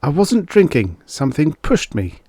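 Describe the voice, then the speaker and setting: A man acting a flustered, higher-pitched detective, stammering. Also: wildly swooping pitch, normal speed, dark tone, husky, dry recording, full character voice. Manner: stammering, sputtering. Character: flustered off-duty male detective, anxious and protesting. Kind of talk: audiobook character dialogue.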